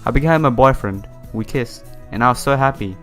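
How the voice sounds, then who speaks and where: A man reads visual novel text aloud in a casual let's play style, slipping in his own remarks. His voice is a little broken from a sore throat and sits at an average pitch. Faint game music plays behind him. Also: fairly quick. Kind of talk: casual playthrough, reading game text with side comments.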